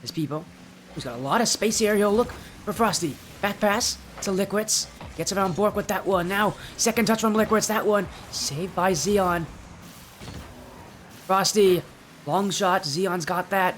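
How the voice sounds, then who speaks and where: A young male esports caster calls the match on his own with a high, light voice. He sounds a little stuffy in the nose and breaks into excited, high-pitched shouts when a play surprises him. A faint hum sits under his voice. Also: measured pace. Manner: excitable, yells in high-pitched bursts at big plays. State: slightly stuffy from allergies. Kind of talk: solo play-by-play commentary.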